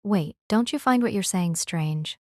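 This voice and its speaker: A woman's voice: mid-range voice, lively intonation, measured pace, dry recording, studio quality, lightly acted. A woman playing a timid, easily flustered teenage girl in an audio drama, shy and hesitant.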